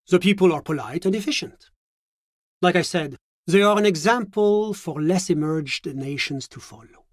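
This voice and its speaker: A fastidious male diplomat from a video game, with a somewhat high voice. He speaks formally and politely, choosing his words with genteel, evasive care. The sound is dry and close. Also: dry recording. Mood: polite, genial. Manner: genteel, measured, formal, officious. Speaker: government official character in a video game. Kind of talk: video game character voice acting.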